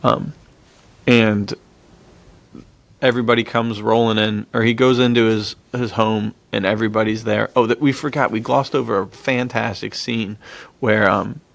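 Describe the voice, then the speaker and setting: A man's voice: on the low side, fairly even intonation, normal speed, creaky, no echo. A man co-hosting a podcast, talking through films in a laid-back, slangy, casual way. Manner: laid-back slangy talk, laughs easily. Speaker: podcast co-host. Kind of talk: casual film discussion, chatty retelling of plots.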